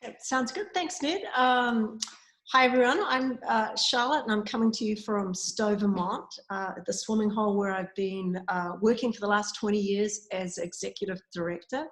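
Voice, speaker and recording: A woman talks casually about her work as a swim coach, filling pauses with ums and uhs. Her voice sits at an ordinary middle pitch. The call sounds roomy, with a clear echo around her. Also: a bit bright. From New Zealand. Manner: chatty, frequent ums and uhs. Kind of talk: casual interview answers. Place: at a swimming facility. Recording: echoey room sound.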